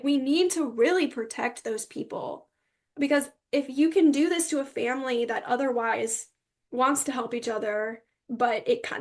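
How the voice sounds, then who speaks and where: A young woman speaks as a guest in a conversational interview, sharing personal testimony. Her voice sits fairly high and carries a lot of creaky fry. She talks in an earnest, emphatic way.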